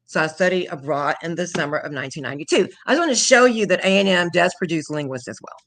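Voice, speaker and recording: A woman's voice: on the low side, lively intonation, fast, a bit bright, a little hiss or hum. A woman, chatting animatedly as an interview guest about teaching languages, laughing often and slipping into French. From Houston, Texas. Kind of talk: casual interview chat.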